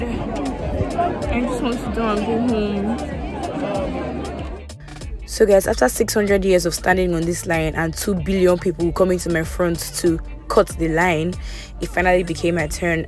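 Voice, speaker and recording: Young woman vlogger, fairly low and hoarse, chatty and wry, phone-at-arm's-length sound over crowd noise and music. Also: fairly even intonation, moderate pace. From Nigeria. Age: 20s. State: hoarse, voice worn out. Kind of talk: casual vlog, voice-over and selfie clips. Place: outdoor camp grounds and inside a car. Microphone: phone camera at arm's length. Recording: loud crowd and music behind.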